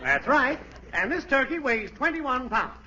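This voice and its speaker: A dull, vintage radio recording carries a brisk butcher with a high, animated voice. He is impatient and quick with a wisecrack, in 1940s radio comedy manner. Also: heavily acted. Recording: noisy, dull old radio broadcast recording.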